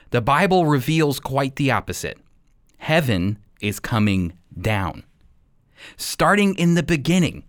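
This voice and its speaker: A man hosting a radio program as a Bible teacher, speaking with deliberate, emphatic phrasing. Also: fairly high pitch, a bit bright.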